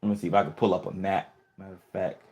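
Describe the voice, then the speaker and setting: A man's voice: low-pitched, steady tone, rapid-fire, darkish tone, breathy edge. A man hosting a casual livestream, thinking aloud with many restarts and fillers as he answers viewer comments. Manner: easygoing, questioning. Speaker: livestream host. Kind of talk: casual live chat, reading viewer comments.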